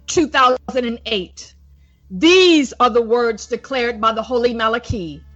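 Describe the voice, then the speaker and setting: Woman evangelist reading out a prophecy, highish voice, slow and solemn, muffled lo-fi audio w music under it. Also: a bit bright. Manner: solemn, declamatory, deliberate. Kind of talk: formal religious address, read aloud from a prepared script. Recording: dull lo-fi recording with music underneath.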